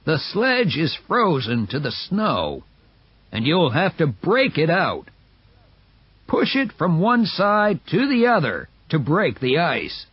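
A man's voice: fairly high pitch, huge pitch swings, slow pace, slightly rough, no echo, dull audio, voice pushed up, heavily acted. A man voicing a seasoned, dry-spoken onlooker giving advice in a read-aloud story. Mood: advising. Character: wry, seasoned northern onlooker men.

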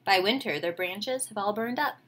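A woman, reading a picture book aloud to children with expressive, enthusiastic, chatty asides. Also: medium pitch, normal speed. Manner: expressive, enthusiastic, questioning the listeners. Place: small home room, indoors.

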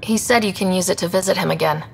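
A young female sci-fi game hero, earnest and direct. The voice sits slightly low and sounds casual and natural, over a faint rumble. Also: quick pace, gravelly. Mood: earnest, reassuring. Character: young, earnest, easygoing human woman explorer hero. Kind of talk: video game dialogue.

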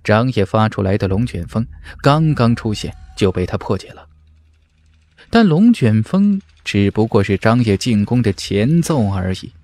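A man's voice: lowish voice, big pitch swings, normal speed, a little mellow. A man narrating a fantasy martial arts audiobook in a dramatic, scripted style.

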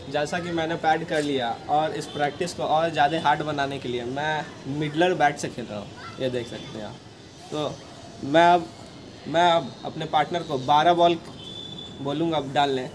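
A man's voice: fairly high pitch, steady tone, moderate pace. A young man, giving instructions to camera as host of a coaching video, recorded outdoors on a rooftop. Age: young adult. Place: outdoor rooftop terrace among brick buildings. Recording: clearly noisy outdoor ambience, traffic hum.

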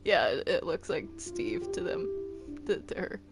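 A gamer chatting casually during a video game roleplay, excitable and stammering, often repeating words. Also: mid-range voice, a little slow, vocal fry, music in the background. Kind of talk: casual gameplay chat during Minecraft roleplay.

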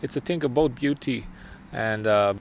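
A man's voice: medium pitch, normal speed. A young man from Bosnia and Herzegovina, a native Bosnian speaker, speaking thoughtfully in a documentary interview, often repeating words. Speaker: band drummer. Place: outdoors by a graffiti wall. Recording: outdoor noise behind.

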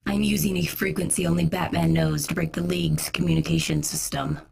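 A woman playing a grave female vigilante in scripted game dialogue, husky and gravelly, speaking in a measured, serious way. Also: low voice, flat delivery, a little mellow, heavily acted. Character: Batgirl, grave female vigilante sending a warning.

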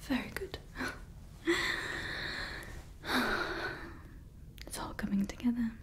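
Woman playing a female evil scientist, ASMR whisper, close mic, very slow, fussy repetition. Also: on the high side. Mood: fussy, doting. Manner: soft whispering, repeats words fussily, calls listener darling. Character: doting female evil scientist building a person. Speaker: ASMR roleplay creator. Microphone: close ASMR microphone.

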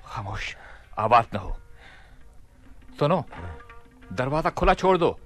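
An actor playing a commanding, mischievous caliph in a theatrical radio play. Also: male voice, mid-range voice, big pitch swings, fairly bright tone, faint music behind. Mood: hushed, urgent. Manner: imperious, theatrical, deliberate. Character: commanding, mischievous caliph planning a prank in his palace. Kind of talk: Hindi radio play acting.

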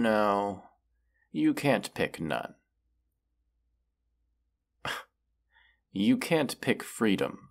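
A man voicing a proud, menacing wounded dragon in a fantasy audio roleplay, speaking in a haughty, deliberate, archaic way. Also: fairly low pitch, gravelly, heavily acted. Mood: sly, taunting.

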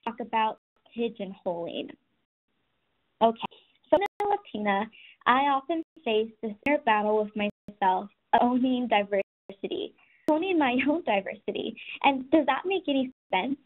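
A soft-spoken woman in her twenties giving a scripted presentation, telling stories and speaking directly to listeners. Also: fairly high pitch, expressive, moderate pace. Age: 20s. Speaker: webinar presenter. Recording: tinny phone-like audio.

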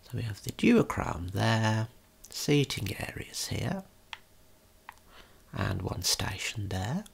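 A tour guide giving a gentle, unhurried ASMR tour in a breathy whisper, explaining things as they go. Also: sits low, big pitch swings.

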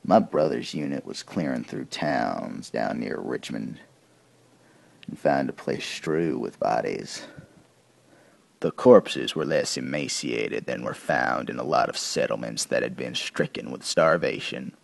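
An actor playing a grim, weathered army corporal of 1880s America, telling his account in a deliberate, folksy, gravelly way for an audio drama. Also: a bass voice, animated, no echo, heavily acted. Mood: somber, hardened. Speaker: narrator of a soldier's account. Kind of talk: dramatic first-person audio drama monologue.

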